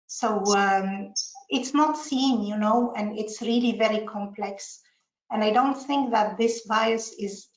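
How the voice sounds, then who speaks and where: A woman speaking on a webinar panel as a panelist, telling her story with many fillers and slightly non-native phrasing. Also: medium pitch. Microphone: video call. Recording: echoey room, muffled online audio.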